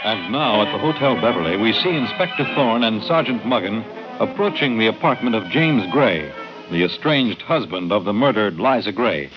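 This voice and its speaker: An actor playing the formal scene-setting narrator of a vintage radio crime serial. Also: male voice, on the low side, animated, normal speed, music in the background, close to an everyday voice. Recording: dull old radio recording.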